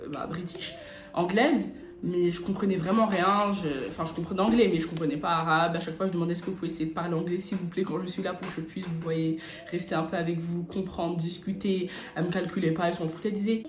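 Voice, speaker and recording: A young woman from France telling personal stories in a casual, animated, slangy chat as a video blogger. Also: lowish voice. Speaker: YouTube vlogger. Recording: roomy echo, music bed behind. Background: background beat music.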